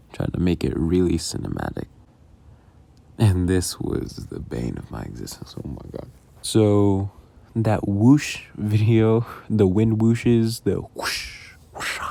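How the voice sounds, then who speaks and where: A man with a low, gravelly voice talks through his own creative work as casual commentary. He rambles along slowly and is self-deprecating, swearing offhandedly as he goes. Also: dark tone. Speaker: audio roleplay creator. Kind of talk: casual behind-the-scenes commentary.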